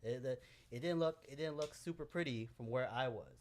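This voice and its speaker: A man's voice: mid-range voice, a little fast, a little mellow. A man from Hawaii, a guest on a podcast, telling stories in a laid-back casual chat, often saying "bro".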